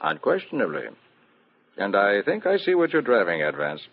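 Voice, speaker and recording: An urbane theater producer for a classic radio whodunit. The voice is husky and lively, emphatic and sure of itself, heard through a dull, muffled old recording. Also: male voice, fairly low pitch, moderate pace, heavily acted. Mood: frank, wry. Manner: brusque, emphatic, frank. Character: brusque, urbane, self-assured male theater producer. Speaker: radio drama actor. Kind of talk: old-time radio mystery acting.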